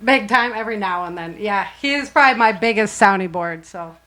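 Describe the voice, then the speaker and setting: A woman's voice: mid-range voice, lively intonation, a little fast, bright, forceful tone, dry recording. A woman hosting a casual cast interview, chatty and informal, often chuckling as she talks. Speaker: host and producer of an audio drama.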